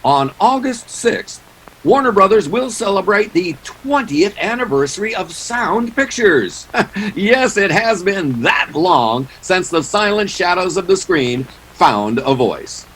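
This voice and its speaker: A man's voice: sits high, expressive, slow, fairly bright tone, breathy edge, heavily acted, rough recording. A man playing a showy 1940s radio announcer, reading scripted introductions and advertising like a polished salesman. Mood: formal, grand.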